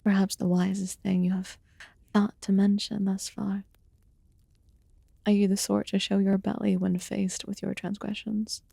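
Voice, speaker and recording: Woman acting as a proud fae witch healer, mellow soft voice, creaky edge, formal archaic phrasing, fantasy ASMR, whispery. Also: medium pitch, little pitch movement, measured pace, no echo, studio quality, exaggerated voice. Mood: amused, curious. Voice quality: soft, breathy, often dropping to a whisper. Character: aloof, measured female fae witch healer, proud yet curious.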